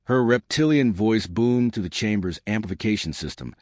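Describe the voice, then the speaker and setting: A man narrates a science fiction story in a low voice. His pitch moves in lively swings through the scripted prose. The sound is dry and close, with no room around it. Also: moderate pace, dry recording.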